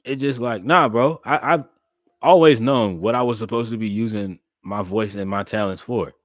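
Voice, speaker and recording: A young man, talking to his livestream chat in an animated, preacherly way. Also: fairly low pitch, moderate pace, dry recording, studio quality. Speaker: streamer and podcast host.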